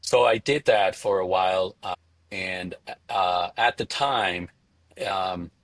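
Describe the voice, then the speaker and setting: A middle-aged man from Venezuela answering interview questions conversationally, in a halting way, repeating words as he thinks. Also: sits low, fairly even intonation, gravelly, fairly bright tone, no echo. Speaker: interview guest, spirits entrepreneur.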